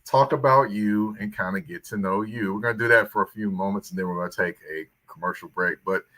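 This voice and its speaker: Podcast host guy, lowish voice but bright n sharp, easygoing chat. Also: quick pace. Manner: relaxed, laid-back questions with fillers. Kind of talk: casual interview chat.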